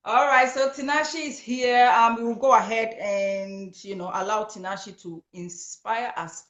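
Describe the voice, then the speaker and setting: A woman hosting a live-streamed talk show, excitable and quick to laugh, with many fillers. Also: fairly high pitch. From Ghana. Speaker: host of an online talk show. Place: home in Brisbane. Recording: echoey room.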